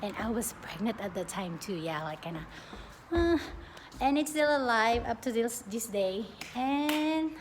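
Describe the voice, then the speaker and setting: A young woman from the Philippines chatting casually as a plant vlogger, expressive and often breaking off mid-sentence. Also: on the high side, unhurried. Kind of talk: casual get-to-know-me question-and-answer chat. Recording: clearly noisy home recording.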